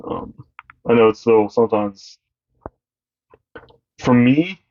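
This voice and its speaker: A young man with a deep voice co-hosting a podcast in casual chat, rambling and halting with many fillers. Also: darkish tone, lo-fi sound. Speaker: podcast co-host.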